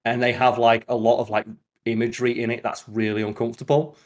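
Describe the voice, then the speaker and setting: A man's voice: medium pitch, fast. Man rambling animatedly in casual podcast chat. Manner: animated retelling, rambling, peppered with "like". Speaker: podcast host.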